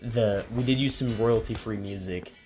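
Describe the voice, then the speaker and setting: A man's voice: fairly low pitch, moderate pace, darkish tone. A young man hosting a casual podcast with friends, rambling with fillers and restarts while a guitar plays behind him. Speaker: podcast host. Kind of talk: casual chat among friends. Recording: guitar playing behind the talk.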